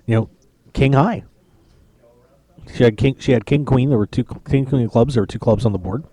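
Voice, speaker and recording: A man's voice: mid-range voice, moderate pace, dark tone, no echo. A man hosting a poker radio show, chatting casually and joking from a lounge at a poker tournament. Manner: chatty, joking, rambling with restarts. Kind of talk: casual live-stream chat.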